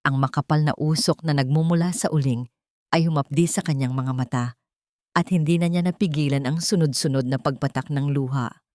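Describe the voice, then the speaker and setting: Low-pitched woman narrating a dramatic story. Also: brisk, a little mellow, no room sound, studio quality.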